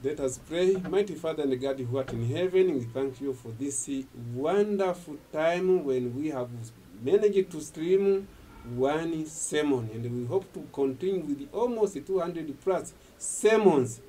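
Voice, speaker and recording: A man's voice: a little high, expressive, unhurried, dark tone, slight background noise. An older man whose first language is Swahili, preaching and praying emphatically in English at a studio desk. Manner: emphatic, gestures while preaching. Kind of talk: sermon closing and prayer. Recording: distant from the mic.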